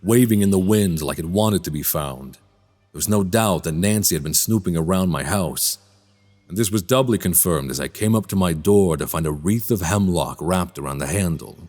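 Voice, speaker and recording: An actor playing a polite, troubled man suspected of being a vampire in a radio drama, speaking in measured, formal storyteller phrasing. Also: low voice, darkish tone. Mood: brooding, uneasy. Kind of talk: immersive radio drama acting and narration.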